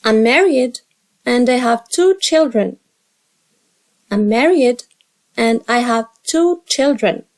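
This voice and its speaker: A woman English teacher reading short dialogue lines slowly and carefully for learners to repeat after her. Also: fairly high pitch, animated. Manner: slow, carefully spaced drill reading.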